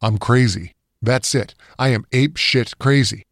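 A man's voice: mid-range voice, unhurried, vocal fry. Casting: shaken injured man, dazed and wry, played by a male narrator, roomy echo. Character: shaken, wounded grown man in despair.

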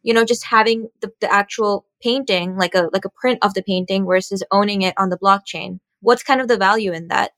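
A woman's voice: medium pitch, brisk, few pauses, fairly bright tone, no room sound. A woman interviewer asking loosely worded questions, searching for her phrasing with fillers.

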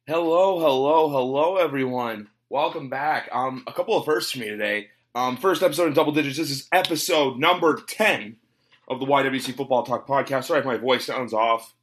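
A man's voice: mid-range voice, brisk, a bit bright. A man hosting a casual sports podcast, talking with a cold and rambling through lots of fillers. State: has a cold. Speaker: podcast host. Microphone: home recording, guest on internet call.

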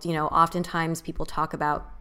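A woman's voice: low-pitched, level tone, a little fast, sharp tone, clear, resonant tone. A woman hosting a podcast, asking thoughtful questions and affirming her guest attentively. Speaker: podcast host. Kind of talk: interview questions.

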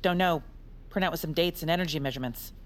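A woman's voice: sits low, fast talker, natural voice. An actress playing an officious female records agent in an audio drama, insistent and matter-of-fact. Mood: brisk, insistent.

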